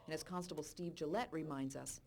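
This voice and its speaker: A woman reading a scripted voice-over narration for a police recruiting video in a clear, measured way. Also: low-pitched, fairly even intonation, noisy background. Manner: clear, measured informational delivery.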